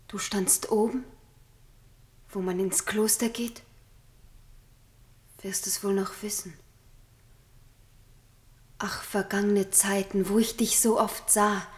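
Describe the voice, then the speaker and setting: An actress playing a yearning, dreamy woman in love in an intimate radio drama, speaking deliberately. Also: medium pitch, lightly acted.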